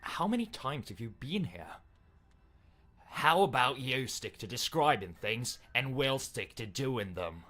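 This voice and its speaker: A man voicing stubborn, theatrical inner voices from a dark fantasy game in an intense, deliberate manner. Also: mid-range voice, sing-song, soft background music, voice pushed up, exaggerated voice. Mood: wry, defiant. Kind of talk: dramatic game character acting.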